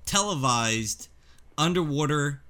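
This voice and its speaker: A man in his forties co-hosting a casual radio chat, telling stories in an animated way with frequent laughs. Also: fairly high pitch, slow, heavy background noise. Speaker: co-host of a paranormal show.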